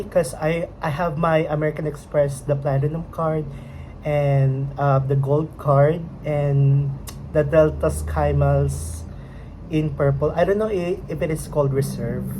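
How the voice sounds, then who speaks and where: A woman's voice: sits low, mellow, slight background noise, poor audio. A young woman with a Filipino accent, a native Filipino speaker, vlogging casually in English and hesitating often as she searches for words. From Philippines. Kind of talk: casual unboxing vlog. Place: home at night.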